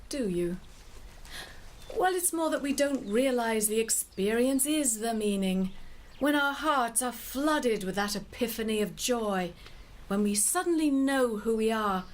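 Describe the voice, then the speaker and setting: A woman narrating a scripted story in a measured way, addressing the listener directly. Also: on the high side, a little hiss or hum.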